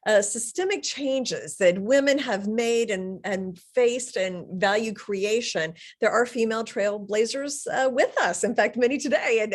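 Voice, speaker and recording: A woman moderating a live panel webinar, upbeat and loose, with fillers and restarts. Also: fairly high pitch.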